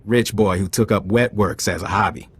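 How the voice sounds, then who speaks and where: A man's voice: on the low side, normal speed, husky. A man playing a wry, easygoing field agent in a video game, talking informally. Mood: wry, businesslike. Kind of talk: video game dialogue acting.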